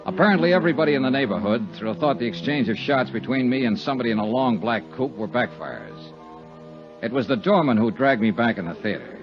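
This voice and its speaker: An American man playing a tough, unflappable insurance investigator in 1950s radio drama, with a clipped, matter-of-fact delivery and a husky voice. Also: medium pitch, expressive, brisk, close to an everyday voice. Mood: dry, matter-of-fact. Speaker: lead actor and narrator. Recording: muffled 1950s radio recording, music behind.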